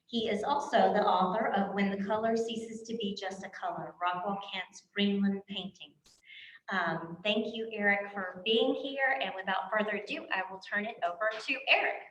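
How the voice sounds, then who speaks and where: A woman with a bright, clear voice welcomes the audience and introduces the guest speaker. She sounds friendly, stumbles a little and uses many fillers, and her voice rings through an echoey museum room. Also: medium pitch, normal speed. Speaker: event host. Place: museum lecture hall.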